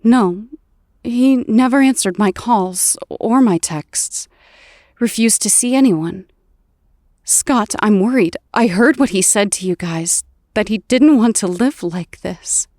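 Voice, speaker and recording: A compassionate superheroine played by a woman speaks with gentle sincerity and frequent halts. Her medium-pitched voice takes its time, captured close and dry for audio drama dialogue. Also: takes it slowly, dry recording, natural voice. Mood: worried.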